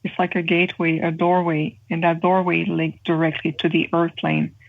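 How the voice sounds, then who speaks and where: A French Canadian woman talks earnestly as a guest, pausing often and filling gaps as she explains. Her voice sits a little low. It comes through a thin, tinny phone connection. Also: little pitch movement, gravelly. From Canada (Montreal). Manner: earnest, measured, with frequent pauses and fillers. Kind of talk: interview guest describing spiritual experiences. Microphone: phone call.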